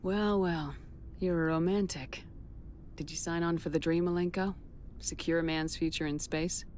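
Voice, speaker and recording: An actress playing a military starship commander in a video game, firm, direct and authoritative. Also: female voice, on the low side, steady tone, normal speed, darkish tone, a little hiss or hum, lightly acted. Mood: wry. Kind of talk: video game dialogue acting.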